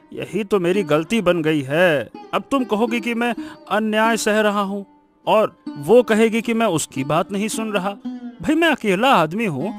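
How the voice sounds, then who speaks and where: A man voicing a weary, exasperated village farmer husband in an animated story, pleading in a melodramatic way. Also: sits high.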